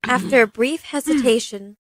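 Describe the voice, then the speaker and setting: A woman's voice: on the high side, normal speed, dry recording, close to an everyday voice, rough recording. A woman narrating a scripted radio play, reading stage directions in a matter-of-fact way.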